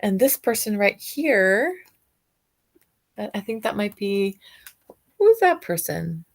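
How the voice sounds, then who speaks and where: A woman's voice: mid-range voice, big pitch swings, takes it slowly, vocal fry. A woman talking as a teacher to children, explaining off the cuff, guessing aloud and putting questions to her listeners. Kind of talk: children's read-aloud discussion, unscripted explaining.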